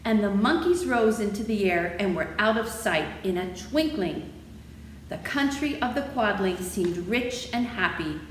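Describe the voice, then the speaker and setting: A woman reads a children's story in a lively, expressive manner. Her voice sits a little high and varies a lot in pitch. It was recorded in an echoey room with faint noise behind. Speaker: library storytime reader. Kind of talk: animated children's story reading.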